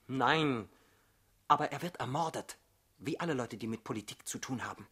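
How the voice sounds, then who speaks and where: An actor playing an earnest, proud and defensive young revolutionary husband in a radio drama, easily flustered. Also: male voice, medium pitch, normal speed, fairly bright tone, husky. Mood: dry, grave.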